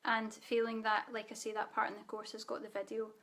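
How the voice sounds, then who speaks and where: A Scottish woman leading a live online spiritual training, speaking softly and calmly, guiding listeners with frequent ums. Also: a little high, brisk. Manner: soft guided-meditation delivery with fillers. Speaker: spiritual teacher.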